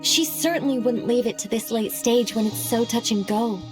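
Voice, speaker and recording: A woman voicing an earnest, scholarly young lady in a game cutscene, speaking in a formal, measured, articulate way. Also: a little high, over music, close to an everyday voice. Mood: thoughtful, earnest. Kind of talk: animated game cutscene voice acting.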